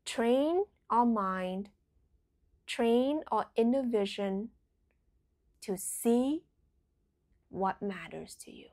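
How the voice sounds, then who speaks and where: A woman leading a guided meditation in a soothing, deliberate way, letting phrases trail into a breathy whisper. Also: on the high side, animated, darkish tone.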